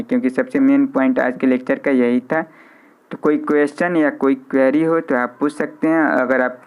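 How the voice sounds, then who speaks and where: A man's voice: fairly high pitch, clean tone. A man with an Indian accent giving an informal live online lecture, repeating his phrases and mixing in English technical terms. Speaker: physiotherapy lecturer hosting a live online class. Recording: slightly dull, band-limited audio.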